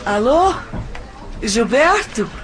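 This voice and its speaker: A Brazilian woman playing an emotional, heartbroken young woman in an audio novel, with halting, deliberate delivery. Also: on the high side, big pitch swings, a faint crowd, slight background noise, exaggerated voice. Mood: feigned cheer, nervous. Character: heartbroken, desperate young woman feigning cheer.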